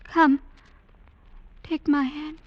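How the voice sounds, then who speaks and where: An actress playing a gentle, dutiful young village woman in a vintage radio drama. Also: high-pitched, level tone, drawn-out words. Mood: gentle, reassuring. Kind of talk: 1940s-50s radio drama acting. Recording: dull vintage radio broadcast recording.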